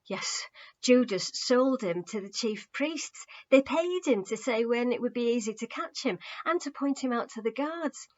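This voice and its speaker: A woman voicing a distraught young man, emotional, emphatic and halting, in a story reading with muffled sound. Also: on the high side, lively intonation, voice pushed up. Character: distraught young male follower recounting a betrayal.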